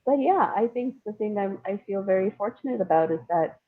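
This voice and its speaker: A woman's voice: mid-range voice, expressive, a little fast, phone-quality sound. A woman from India speaking as a writer guest in a reflective interview, thoughtful and hesitant, with self-deprecating humor. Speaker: guest, playwright and screenwriter.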